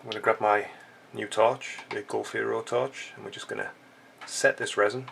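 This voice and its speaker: Congested man, gravelly low voice. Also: fairly even intonation, moderate pace, fairly bright tone, a little hiss or hum. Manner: chatty, joking asides while working. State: stuffy, congested nose. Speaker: fly-tying channel host. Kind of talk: casual tutorial chat.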